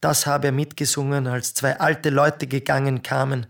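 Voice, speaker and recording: An actor playing a sombre, ironic nephew in a radio play, speaking in measured literary phrases with a creaky voice. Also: male voice, medium pitch, flat delivery, natural voice. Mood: sombre irony. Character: sombre, ironic nephew recalling his family's past.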